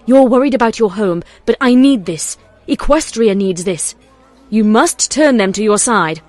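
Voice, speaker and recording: A woman's voice: on the high side, animated, a little slow, darkish tone, no room sound. Woman doing a stately pony night queen, formal and burdened, audio drama, bit of music behind. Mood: pleading, urgent.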